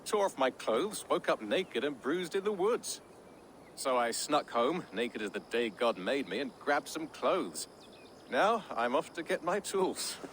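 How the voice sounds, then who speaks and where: A man's voice: mid-range voice, a little slow, slight background noise. A man playing an easygoing, playful woodland character in a video game, with a breezy manner. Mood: sheepish. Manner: breezy, animated.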